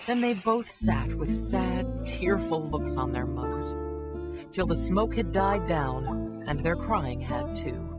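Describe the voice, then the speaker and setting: Woman, storyteller, rhyming children's tale, animated intonation, slow pace, guitar music behind. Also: deep voice. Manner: expressive.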